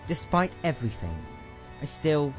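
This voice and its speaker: A man voicing a weary, sorrowful female pony princess in a storybook, speaking in a soft, restrained, measured way. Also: a little high, big pitch swings, over music. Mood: sad tenderness.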